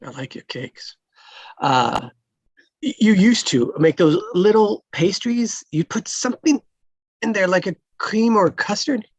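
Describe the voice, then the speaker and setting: A man reading the part of a friendly, awkwardly flirty traffic cop in a live table read, halting and earnest with dry jokes. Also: high-pitched, lively intonation, slightly rough, no echo, pitched above the performer's own voice, heavily acted. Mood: curious, smitten.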